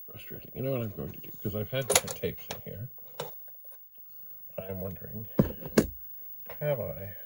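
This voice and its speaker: A man giving a casual hands-on demo as the host, thinking aloud in short, broken, unhurried phrases. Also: deep voice, creaky. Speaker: host of a retro computer channel. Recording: faint background noise.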